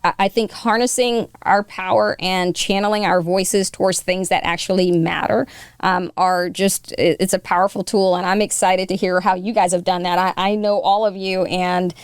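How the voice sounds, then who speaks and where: A woman's voice: lowish voice, steady tone, moderate pace, smooth, no echo. A woman hosting a podcast panel, enthusiastic and encouraging as she draws out her guests. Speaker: podcast host. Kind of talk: casual panel conversation.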